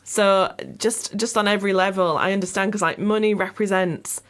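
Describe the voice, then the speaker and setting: A woman with a medium-pitched voice works as a radio interviewer. She is conversational and reflective, building gradually towards her questions. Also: steady tone, normal speed, fairly bright tone.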